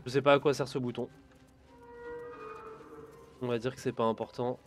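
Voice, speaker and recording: A man hosting a let's play, giving relaxed, chatty commentary on his gameplay and talking to himself as he goes. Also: mid-range voice, normal speed, soft background music.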